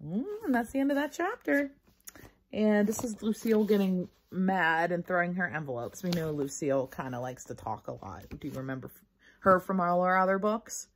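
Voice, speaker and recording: A woman reads a storybook aloud for children in a lowish, husky voice. Her delivery is highly animated, and she stops to explain things to her young listeners. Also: moderate pace. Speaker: storybook reader. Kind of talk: expressive children's read-aloud.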